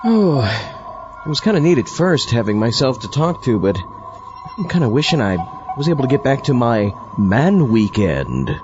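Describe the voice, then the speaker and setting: A man's voice: mid-range voice, sing-song, moderate pace, lightly acted. A man voicing a petulant, indignant zombie astronaut who bickers with his own double in a comic radio skit. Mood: puzzled, grumbling. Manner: exclamatory, swooping, indignant. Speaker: show host. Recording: dull lo-fi with music behind.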